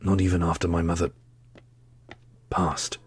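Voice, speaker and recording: A gentle dark prince voiced by a man with a low, husky voice. He speaks slowly and thoughtfully, as a devoted lover in a fantasy ASMR roleplay. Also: little pitch movement, heavily acted. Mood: tender, pensive.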